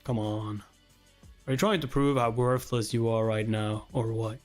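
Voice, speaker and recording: A man's voice: fairly low pitch, fairly even intonation, a little slow, faint music behind, pitched below the performer's own voice, close to an everyday voice. A man voicing a severe male company boss in a webtoon read-along, curt and accusing with his staff. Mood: cold, scornful. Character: cold, demanding male corporate boss.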